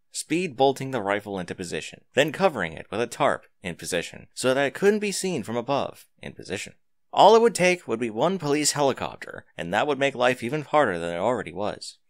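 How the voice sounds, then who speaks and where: A man's voice: mid-range voice, dry recording. A man reading scripted fiction aloud as a dramatic narrator, close to the microphone.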